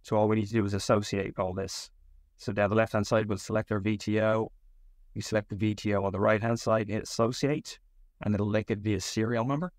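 A man giving a step-by-step software tutorial as a tech support instructor. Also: fairly low pitch, no echo, clean studio sound.

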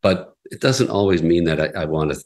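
A man's voice: low-pitched, expressive, brisk, a little mellow. A middle-aged man, a radio station president, talking casually as an interview guest, rambling a little with restarts and light chuckles. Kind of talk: conversational interview.

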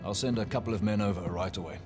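A man's voice: lowish voice, very fast, close to an everyday voice. A man playing a dutiful mercenary in a video game, reporting in a deferential, matter-of-fact way. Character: dutiful male mercenary reporting to his boss. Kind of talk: cinematic video game dialogue. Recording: faint game music behind.

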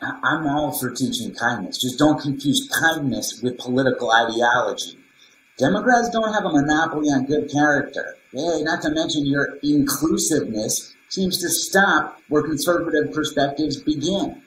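A man's voice: a little high, normal speed, a bit bright, natural voice. Man acting argumentative male parent, indignant, roomy video call, faint noise. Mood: challenging. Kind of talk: scripted dramatization on video call. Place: small room, video call.

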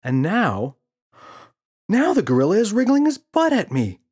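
Man putting on an excited, high-pitched little boy James. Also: unhurried, husky, exaggerated voice. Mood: excited, insistent. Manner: frantic, insistent.

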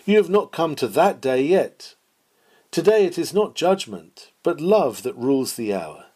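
A man's voice: on the high side, big pitch swings, measured pace, darkish tone, slightly rough. A man reading a Victorian sermon aloud with solemn, oratorical, exhorting delivery. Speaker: reader of historical sermons.

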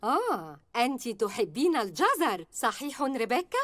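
Gentle, encouraging antelope teacher, lively voice, music behind. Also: female voice, fairly high pitch, moderate pace. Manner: patient, encouraging. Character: kind female antelope teacher.

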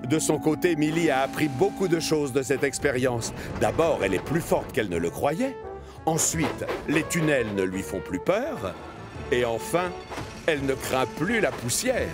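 A man narrating a children's cartoon story in an expressive storytelling manner. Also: mid-range voice, measured pace, music in the background.

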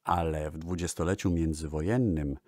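A man hosting a music history show, narrating in a measured, formal radio style and addressing the listeners. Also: rumbling low, fairly even intonation, dark tone, no echo, clean studio sound. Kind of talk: radio-style narration about old recordings.

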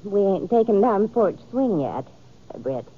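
An actress playing a folksy, matchmaking frontier aunt in a 1950s radio western, with a husky, drawn-out rustic delivery. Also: female voice, mid-range voice, big pitch swings. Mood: homely, nudging. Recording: tinny 1950s radio recording.

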